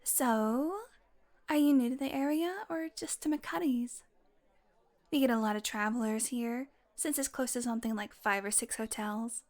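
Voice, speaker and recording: A woman acting as a brash female vampire in an intimate audio roleplay. Her voice sits on the high side, and she talks with teasing sarcasm, swearing casually and flirting as she goes. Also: normal speed, a little mellow, natural voice. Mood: flirty, playful. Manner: sarcastic, playful, casually profane.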